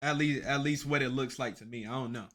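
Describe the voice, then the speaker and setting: A man's voice: mid-range voice, fast talker, a crowd in the background. A young man giving casual reaction commentary as a streamer, rambling, restarting his words and swearing offhandedly.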